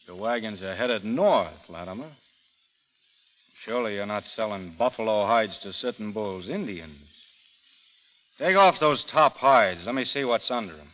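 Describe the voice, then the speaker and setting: An actor playing a weary, steely frontier gunfighter in old-time radio drama style, sometimes hesitant, sometimes terse. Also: male voice, lowish voice, expressive, a little slow. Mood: commanding. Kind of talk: 1940s radio acting. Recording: muffled old radio broadcast, faint music.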